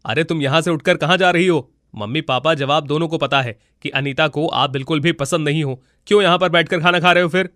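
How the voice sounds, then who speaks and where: A man's voice: on the high side, fairly quick, hardly any pauses, bright, forceful tone, no echo, studio quality. A man voicing a rude, irritable grown son in a cartoon story, curt with his parents. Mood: angry, scolding. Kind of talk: Hindi cartoon moral-story dialogue.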